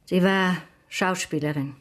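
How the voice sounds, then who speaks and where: An actress playing a proud, blunt, melancholy older spinster in a radio drama, speaking in a formal, measured way. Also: female voice, lowish voice, natural voice. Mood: melancholy, reflective.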